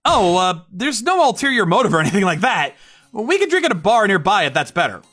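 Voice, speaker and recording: A woman's voice: mid-range voice, big pitch swings, a little fast, a bit bright, no echo, soft background music. A woman voicing an earnest young male CEO in a manga story dub, polite, hesitant and apologetic. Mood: friendly, hesitant.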